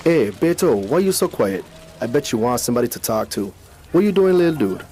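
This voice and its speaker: Radio drama role: male actor as streetwise coaxing youth, slangy, quick delivery, dark soft timbre. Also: mid-range voice, very animated, soft background music, natural voice. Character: cajoling, streetwise neighborhood youth.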